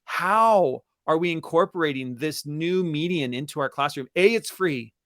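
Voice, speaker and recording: A man's voice: on the high side, lively intonation, moderate pace, fairly bright tone, dry recording, clean studio sound. A man speaking as an enthusiastic, emphatic co-host in a conversational webinar for educators, repeating key phrases for stress. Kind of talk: conversational webinar talk for teachers.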